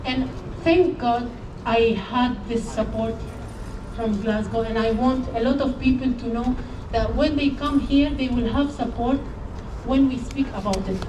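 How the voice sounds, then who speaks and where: A woman from Syria giving an earnest, halting speech at an outdoor protest. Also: on the high side. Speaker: protest speaker. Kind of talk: rally speech. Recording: echoey and distant with faint noise.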